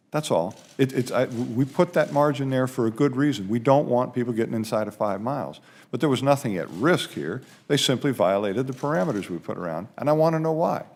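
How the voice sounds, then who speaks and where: A man's voice: mid-range voice, a little mellow. A man testifying as an aviation official at a hearing, explaining things in an emphatic way. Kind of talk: spoken testimony.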